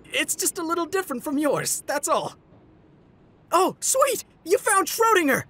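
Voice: high-pitched, big pitch swings, a little fast, fairly bright tone. An actor voicing a glib, unruffled, teasing young trickster in a comic dub. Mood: breezy, placating. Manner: breezy, glib, conversational. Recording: music behind.

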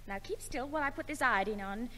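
A woman's voice: a little high, lively intonation, quick pace, a bit bright. A woman playing an impatient, bossy young woman in a 1960s radio comedy. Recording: reverberant old radio recording.

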